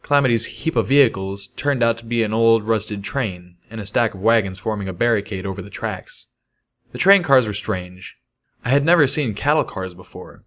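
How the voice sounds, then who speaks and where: A man with a fairly low voice narrates a first-person story. He reads from a script as an audiobook narrator. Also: fairly bright tone. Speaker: audiobook reader of fan fiction.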